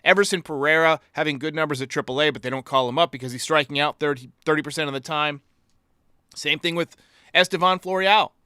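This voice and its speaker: Male fan-podcast host, sports commentary. Crisp, higher-than-average voice, blunt and sarcastic. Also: fast talker, pushed and bright, dry recording, studio quality.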